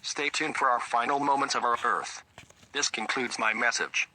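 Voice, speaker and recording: Grave male head of state played by a man, mid-pitched and very sharp, formal scripted emergency address. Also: fairly even intonation, moderate pace, rough recording.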